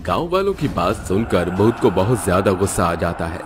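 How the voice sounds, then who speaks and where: Animated male story narrator. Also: low-pitched, moderate pace, music in the background. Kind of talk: scripted cartoon story narration.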